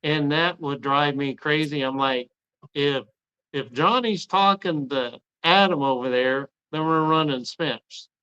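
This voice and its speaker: Guy host, kinda high bright voice, smooth, tells stories and quotes himself, lo-fi sound. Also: a little slow. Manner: tells stories, acting out his own quotes. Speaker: podcast host, former assistant coach. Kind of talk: casual interview talk. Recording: slightly dull lo-fi mic.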